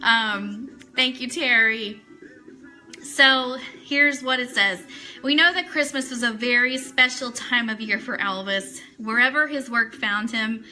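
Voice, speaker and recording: A woman's voice: on the high side, unhurried, pushed and bright. A woman chatting casually and enthusiastically as host of a fan talk show livestream, greeting viewers as she goes. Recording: music playing in the background. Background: Christmas music.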